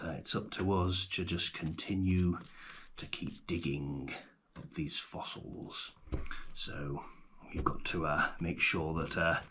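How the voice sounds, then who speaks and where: A man playing a genial, gentle paleontologist in an ASMR roleplay, speaking softly, often in a whisper, with an unhurried, hesitant and gently delighted manner. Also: a bass voice, slight background noise. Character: genial, gentle male paleontologist guiding a trainee.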